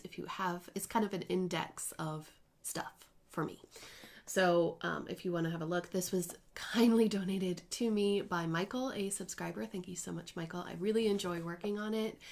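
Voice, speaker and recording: A woman in her thirties talking casually at home about her cross-stitch projects, in a chatty, rambling way with small self-corrections. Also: lowish voice. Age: 30s. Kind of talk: casual chatty crafting vlog.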